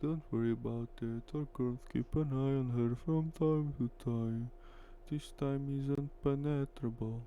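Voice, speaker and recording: A man voicing a flattering female club henchwoman in a visual novel, coaxing and deliberate. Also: mid-range voice, smooth, lightly acted.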